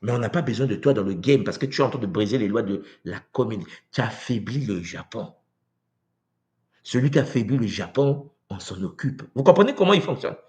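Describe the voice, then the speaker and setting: A man from Congo giving a live talk to viewers, animated and rhetorical, often asking "n'est-ce pas?" and acting out quoted voices. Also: mid-range voice, a little fast. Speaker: speaker on spiritual tradition. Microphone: livestream mic.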